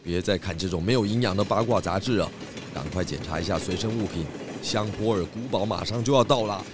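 A man's voice: low voice, brisk, noisy background, close to an everyday voice. A man playing an exasperated but sensible pig detective in a children's audio drama, scolding and exclaiming.

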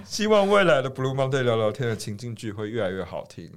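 A man with a Taiwanese Mandarin accent chats as a podcast co-host. His pitch jumps around in a very animated way. He is jokey and mock-dramatic, breaking easily into laughter. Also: quick pace. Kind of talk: casual podcast chat.

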